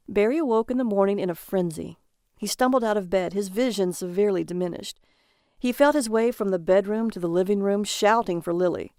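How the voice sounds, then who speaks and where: A woman's voice: mid-range voice, a little mellow, dry recording, studio quality. A woman reading a scripted short story as the narrator of audio fiction.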